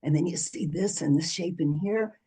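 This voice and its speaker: A man's voice: a little high, normal speed, warm tone. A man on an arts panel telling a rambling anecdote, full of "so" and "you know". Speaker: panelist, arts organization president.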